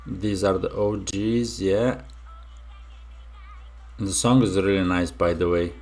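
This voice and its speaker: A young man from Romania talks through a reaction video in a low, gravelly voice with plenty of vocal fry. He speaks slowly and haltingly, trailing off and restarting his thoughts as he muses aloud. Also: fairly even intonation, darkish tone, faint music behind. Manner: musing, halting, with many fillers and restarts. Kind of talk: casual reaction commentary.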